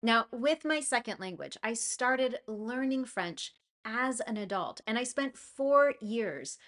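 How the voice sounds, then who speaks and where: A woman teaching English to learners, talking through a clear, encouraging lesson with a personal story. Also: a little high, animated, takes it slowly, fairly bright tone. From United States. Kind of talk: scripted lesson monologue.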